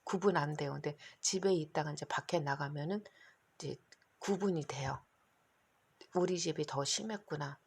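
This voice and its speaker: Hoarse, gravelly low-voiced middle-aged woman. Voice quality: gravelly, husky. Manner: rambling, anxious, talks in long run-on stretches. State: hoarse, keeps clearing throat. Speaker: vlogger. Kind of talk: casual monologue to camera. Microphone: phone.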